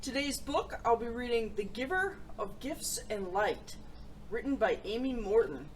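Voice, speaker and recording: A woman hosting a children's story show, reading aloud in an animated, expressive way. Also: on the high side, measured pace, a little hiss or hum, poor audio.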